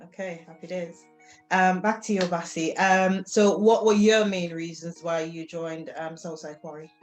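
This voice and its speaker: Woman interviewer, halting and filler-heavy, light music under. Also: on the low side, steady tone, poor audio. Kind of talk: interview questions.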